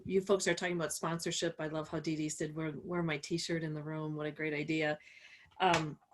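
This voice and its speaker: A woman speaking as a panelist, explaining things in a conversational professional style. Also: fairly low pitch.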